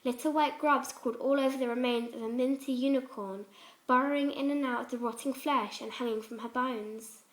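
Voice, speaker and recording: High-voiced woman narrating a story. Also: steady tone, fairly quick, low-quality recording. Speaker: fan fiction reader. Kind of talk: dramatic scripted story narration.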